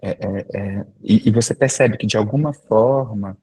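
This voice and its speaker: Mellow husky man leading a discussion. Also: lowish voice, no room sound, rough recording. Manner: thoughtful, halting, with repeated words. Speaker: group facilitator. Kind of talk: reflective group discussion. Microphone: video call.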